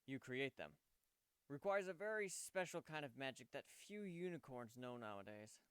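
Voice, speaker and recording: A man voicing a gentle, wry male pegasus pony who speaks matter-of-factly in a story reading. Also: medium pitch, expressive, normal speed, smooth, no echo, natural voice. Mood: explaining.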